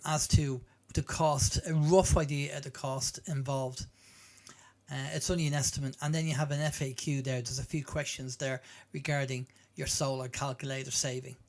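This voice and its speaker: Guy doing a casual demo, slightly high voice, explains stuff plainly and goes back over it. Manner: explanatory, plain-spoken, repeats himself. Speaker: presenter demonstrating web apps. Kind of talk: informal screen walkthrough.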